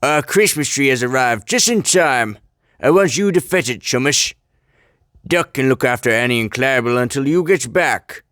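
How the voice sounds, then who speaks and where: A man voicing a stern, proud railway boss in a children's storybook, booming out formal orders. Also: animated, normal speed, fairly bright tone, dry recording, studio quality, close to an everyday voice. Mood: impatient, commanding.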